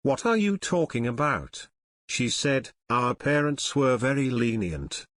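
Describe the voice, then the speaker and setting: A man's voice: mid-range voice, lively intonation, measured pace, fairly bright tone, dry recording, clean studio sound. A man reading a scripted practice passage aloud as a model reader.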